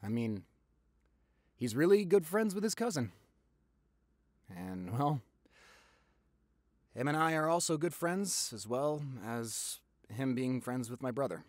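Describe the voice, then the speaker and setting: A man's voice: medium pitch, big pitch swings, slow pace, long pauses, dry recording, studio quality. A young man playing a gossipy, playful, affectionate boyfriend in an audio roleplay, theatrical and teasing in his delivery. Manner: theatrical, exaggerated, teasing, chatty.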